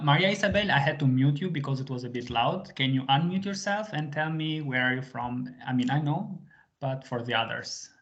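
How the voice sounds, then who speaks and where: A man teaching a live online language lesson to a small group, patient and full of small fillers as he switches between English and Albanian. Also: a little high. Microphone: video call. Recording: slightly muffled video call audio.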